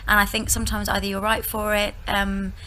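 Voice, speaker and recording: A woman's voice: medium pitch, monotone, brisk, bright, forceful tone, slight background noise. A woman in her thirties, a musical theatre actress, chatting hesitantly and laughing in an interview. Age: 30s. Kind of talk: casual interview chat.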